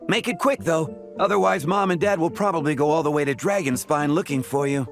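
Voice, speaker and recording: A man's voice: fairly high pitch, a little fast, vocal fry, faint music behind. An actor playing a friendly, casual young man, an older brother, in a game cutscene. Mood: gently nagging. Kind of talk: English game dub cutscene acting.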